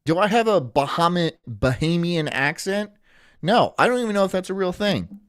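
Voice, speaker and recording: A young man from Florida chatting casually on a live stream, rambling through jokes and deadpan absurd asides. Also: sits high, moderate pace, dry recording, studio quality. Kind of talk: casual live-stream chat reacting to a video.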